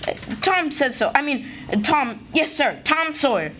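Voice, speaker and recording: A woman plays a backwoods boy in old-time radio theatre, high-voiced, folksy and a little anxious. The recording carries clear noise. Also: sing-song, normal speed, bright, forceful tone, exaggerated voice. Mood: flustered. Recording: noisy live stage recording.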